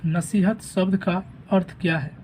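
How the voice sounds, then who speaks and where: A man with a sore, rough throat reads through an answer key at a steady, repetitive pace. His voice is dark and mellow, with a faint hiss of noise behind it. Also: high voice, unhurried. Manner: steady, repetitive, reads each question then gives the answer and option. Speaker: teacher going over an exam answer key. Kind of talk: reading out quiz answers.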